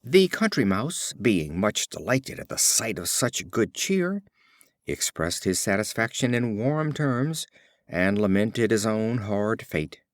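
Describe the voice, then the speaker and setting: A man reads storybook fables in an unhurried, measured way. His pitch moves up and down in lively fashion, and the recording is dry and close. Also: no echo. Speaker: audiobook narrator. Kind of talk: scripted storybook narration of fables.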